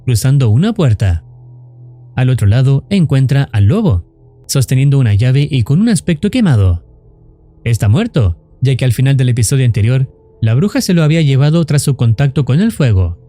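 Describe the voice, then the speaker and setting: A man's voice: medium pitch, mellow. A man reading a scripted narration as the narrator of a horror story recap.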